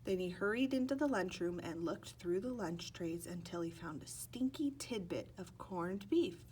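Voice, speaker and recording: A woman's voice: lowish voice, animated, normal speed, darkish tone, a little hiss or hum, poor audio. A woman narrating a children's picture book expressively, stretching words and acting out sound effects. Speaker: storybook reader.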